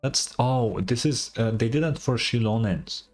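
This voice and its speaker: A man streaming his reactions to a game in an offhand, blunt style. His voice sits at an average pitch and he talks informally, swearing freely. Quiet music plays behind him. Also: a little slow. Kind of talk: casual reaction commentary.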